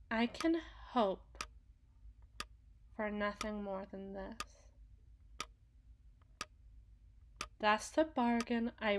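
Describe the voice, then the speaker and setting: A woman's voice: mid-range voice, a bit bright, a little hiss or hum. A woman voicing a powerful, possessive demon in a theatrical ASMR roleplay, speaking formally and imperiously like a coldly seductive deal-maker. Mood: measured, bargaining. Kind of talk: ASMR roleplay, dramatic monologue.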